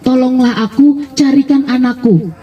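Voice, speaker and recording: A woman's voice: sits high, lo-fi sound, close to an everyday voice. Woman voicing a frail, pious old man, distantly miked. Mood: pleading. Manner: halting, exclamatory, addressing listener as young man. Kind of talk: live children's storytelling.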